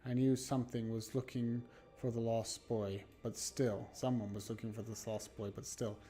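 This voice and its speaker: A man live-streaming a game, reading horror narration aloud dramatically and haltingly, stumbling and correcting himself. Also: mid-range voice, level tone, dark tone. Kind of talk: live read-aloud of a horror visual novel with asides. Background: background music.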